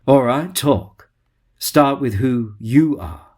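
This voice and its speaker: A man's voice: medium pitch, lively intonation, takes it slowly, a little mellow. An actor playing a curt, decisive male starship captain in a sci-fi audio drama, speaking in a clipped, authoritative way. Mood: commanding.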